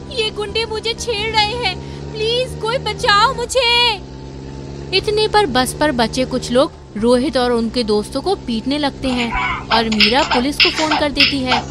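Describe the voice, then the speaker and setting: Female storyteller, high voice, scripted narration, music underneath. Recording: faint music behind.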